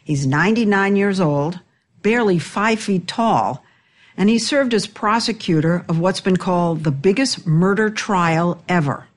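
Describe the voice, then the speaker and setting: A woman correspondent narrates a television news story in a measured, deliberate way. Her voice sits at a middle pitch. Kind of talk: scripted narration.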